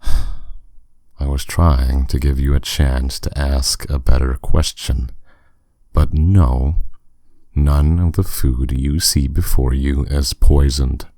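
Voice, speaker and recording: A man playing a powerful, courteous demon captor in an ASMR roleplay, speaking with smooth, teasing, condescending authority. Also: extremely low pitch, steady tone, dark tone, no echo, studio quality. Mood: stern, dominant. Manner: smooth, condescending, unhurried, with endearments.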